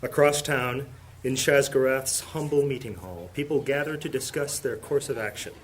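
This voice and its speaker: A man narrating a fantasy audio drama in a measured, dramatic storytelling style. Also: medium pitch.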